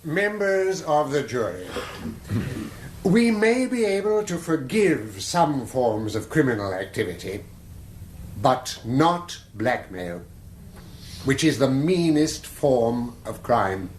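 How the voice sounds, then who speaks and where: An actor playing a grave, fair-minded English prosecuting barrister, speaking with deliberate, formal persuasion in a radio drama courtroom. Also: male voice, medium pitch, very animated. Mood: solemn, persuasive. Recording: echoey courtroom sound, faint noise.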